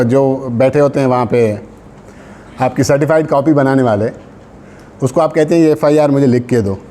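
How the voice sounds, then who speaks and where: A man's voice: medium pitch, warm tone. A man with a Pakistani accent giving a law lecture, reading out provisions and explaining them didactically, with short checks for understanding. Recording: faint background noise.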